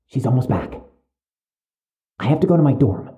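An actor playing a suspicious young medical student in an audio drama, speaking with precise, urgent, stiffly formal wording. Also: male voice, medium pitch, lively intonation, normal speed, phone-quality sound, natural voice. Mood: secretive, worried.

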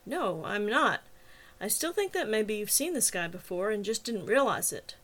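A woman's voice: medium pitch, expressive, moderate pace, a bit bright. A woman voicing a persistent, teasing male police officer in an audiobook, in a coaxing, persuasive manner. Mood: earnest. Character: persistent, teasing male cop, cajoling and reasonable. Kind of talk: audiobook character dialogue.

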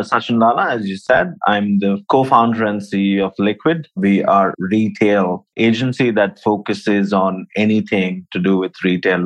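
A man from India in his forties, answering interview questions in a relaxed, conversational way. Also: sits low. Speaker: podcast guest, agency founder.

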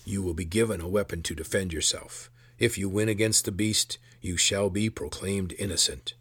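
A man voicing a gruff, stern, curt sergeant of the palace guard in a fantasy story. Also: normal speed, a bit bright, no echo. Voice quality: gruff, rumbling. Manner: curt, authoritative.

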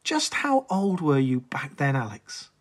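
An interviewer reading prepared questions in an admiring, measured way. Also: medium pitch, very animated.

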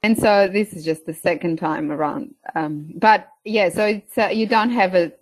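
A woman's voice: lowish voice, normal speed, dry recording, rough recording. A woman in her thirties from Portugal with a Portuguese accent, talking casually as an interview guest, hesitant with many fillers. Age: 30s. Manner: hesitant, many fillers, laughs between phrases. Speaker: guest, beef farmer.

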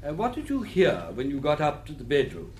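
An actor playing a formal, probing, courteous coroner with an English accent in a British radio drama, questioning precisely. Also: male voice, on the high side, wildly swooping pitch, fairly quick, a little mellow, slight background noise. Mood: dry, persistent.

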